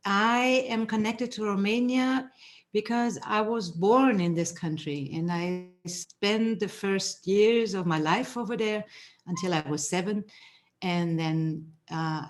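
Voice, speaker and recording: Gentle woman on a call. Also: lowish voice. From Germany. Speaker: participant. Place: room at home.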